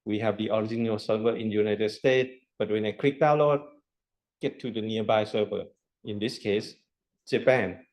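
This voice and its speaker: A man's voice: lowish voice, steady tone, normal speed, a little mellow. A man lecturing a university class, explaining step by step with rhetorical questions and repetition. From Thailand.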